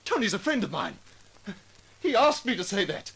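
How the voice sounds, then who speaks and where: High-pitched, jumpy club owner. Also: male voice, sing-song, moderate pace, creaky, a bit bright, husky, exaggerated voice. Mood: frightened. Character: startled, anxious male nightclub owner. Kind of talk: 1940s radio drama acting. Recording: noisy vintage 1940s radio recording.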